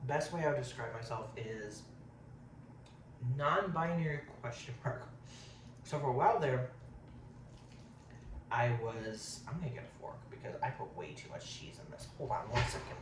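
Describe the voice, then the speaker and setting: A man in his thirties talks casually while eating on camera. He rambles from topic to topic, fills gaps with um, and keeps an everyday middle pitch. He sits back from the mic in an echoey room with a faint hiss. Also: lively intonation, normal speed, long pauses. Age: 30s. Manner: rambling, says um a lot. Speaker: vlogger. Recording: roomy, distant, faint hiss.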